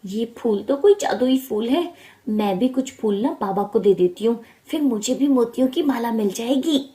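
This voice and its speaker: A greedy, scornful village woman from a storybook, played by a female narrator. Her voice is very high and shrill. She nags and dramatizes everything as she schemes. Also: expressive, normal speed, mellow, lightly acted. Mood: envious, scheming.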